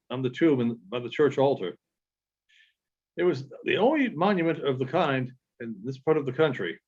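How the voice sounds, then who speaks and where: A man reading literary narration aloud as an audiobook reader, in a measured storytelling manner with halting phrasing. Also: medium pitch, muffled recording.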